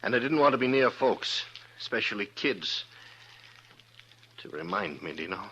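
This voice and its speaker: An actor playing a weary, lonesome drifter in an old radio western, speaking in a halting, folksy way. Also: male voice, on the low side, expressive, bright, forceful tone, muffled recording. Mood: heavy, confiding. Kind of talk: 1950s radio western acting. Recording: noisy old radio recording.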